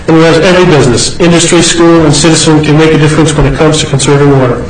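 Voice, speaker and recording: A male mayor with a cold, reading a proclamation quickly with small stumbles and joking asides at a city meeting. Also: on the high side, steady tone. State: has a cold, congested. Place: council chamber, indoors. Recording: echoey council chamber, faint hiss.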